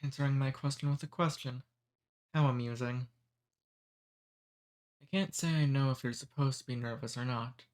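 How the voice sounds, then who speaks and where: A man voicing a friendly, centuries-old male demon in an ASMR roleplay. He talks calmly and teases gently. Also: mid-range voice, normal speed, pauses often, close to an everyday voice. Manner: calm, friendly, gently teasing.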